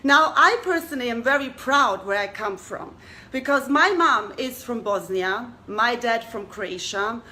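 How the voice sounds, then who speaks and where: Bright, high-voiced woman hosting a talk. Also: lively intonation, a little slow. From Germany. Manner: earnest, rhetorical questions to listeners. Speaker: talk show host. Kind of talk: addressing an audience.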